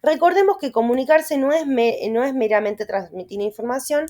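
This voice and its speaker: Young Argentine woman, Rioplatense accent, higher voice, reads class notes and checks in with listeners. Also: moderate pace, a little mellow. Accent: Rioplatense Spanish. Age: young adult. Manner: explanatory, with tag questions and self-corrections. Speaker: student teacher. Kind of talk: reading lecture notes aloud with asides.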